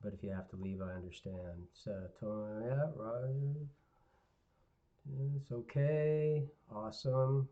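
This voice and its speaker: A male guitar teacher giving a live online lesson, hoarse and raspy, clearing his throat often, talking to viewers in a relaxed, rambling way. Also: fairly low pitch, slow. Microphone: computer mic on a live stream. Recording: dull lo-fi livestream audio.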